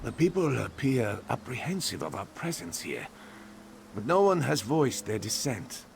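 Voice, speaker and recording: A man's voice: mid-range voice, sing-song, normal speed, gravelly, a little hiss or hum. An actor playing a formal, cautious man in a video game, watchful and composed.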